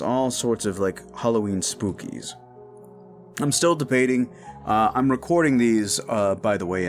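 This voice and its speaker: A man speaking casually as an audio roleplay creator, giving an informal outro to his listeners. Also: sits low.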